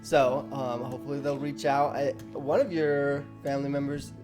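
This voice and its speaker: A man's voice: medium pitch, little pitch movement, moderate pace, over music. A man with an American accent, hosting a casual interview in an agreeable, enthusiastic way. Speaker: podcast host, interviewer. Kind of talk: casual chat.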